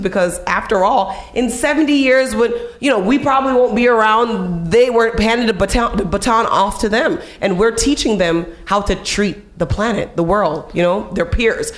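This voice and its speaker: A woman's voice: medium pitch, roomy sound. A woman hosting a radio morning show, chatting casually with guests and using lots of fillers. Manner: chatty, encouraging, many fillers. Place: radio studio.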